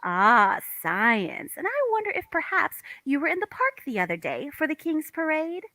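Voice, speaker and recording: A woman's voice: high-pitched, sing-song, normal speed, pushed and bright, no echo, heavily acted. A woman playing a feisty, suspicious housewife in a comic play table read, arch and pointed in her emphasis. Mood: sarcastic, accusing. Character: feisty, suspicious young housewife, sharp-tongued.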